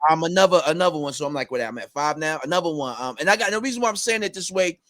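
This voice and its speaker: A man's voice: on the high side, animated, very fast, a bit bright. A man in casual conversation, talking with many fillers and restarts and breaking into recited rap lines. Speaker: rapper guest.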